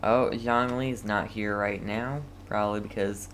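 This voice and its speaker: A woman's voice: rumbling low, fairly even intonation, measured pace, a little hiss or hum. A woman giving casual live commentary on a game, wry and a little hesitant. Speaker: game streamer.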